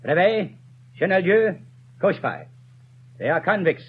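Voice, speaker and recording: A man's voice: sits high, big pitch swings, normal speed, breathy edge, exaggerated voice. An actor playing a stern prosecutor who declaims his charges in a 1930s radio drama. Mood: accusing. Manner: declamatory, accusing. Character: stern courtroom prosecutor, man, middle-aged. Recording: dull lo-fi 1930s radio broadcast.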